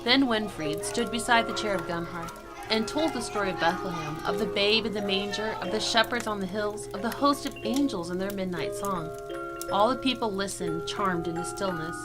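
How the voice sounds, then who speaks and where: Low-voiced woman narrating over music. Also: normal speed. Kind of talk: dramatic storybook narration.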